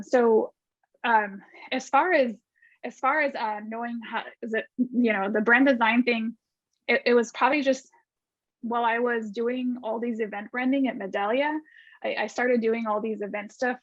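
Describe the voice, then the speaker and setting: A woman from Argentina, a guest designer, talks in a chatty run with lots of restarts and fillers. Her voice sits fairly high. The video call sound is dull and dry, with no room around it. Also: no room sound.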